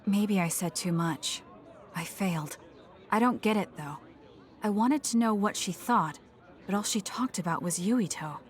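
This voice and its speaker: A woman's voice: medium pitch, measured pace, some chatter behind, natural voice. An actress playing a cool, blunt, determined young female soldier in a video game, reserved and matter-of-fact. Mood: musing.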